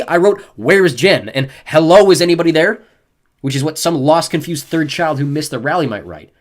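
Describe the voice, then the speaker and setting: A man voicing a forceful, assured middle-aged father in an audiobook. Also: medium pitch, voice pushed up, lightly acted. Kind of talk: audiobook character voice.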